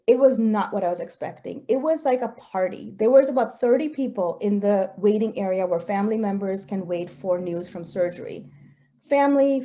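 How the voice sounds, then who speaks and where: A woman's voice: mid-range voice, mellow. A woman in her forties, a researcher whose first language is Gujarati, giving an earnest, personal talk with slides. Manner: earnest, personal, with occasional fillers.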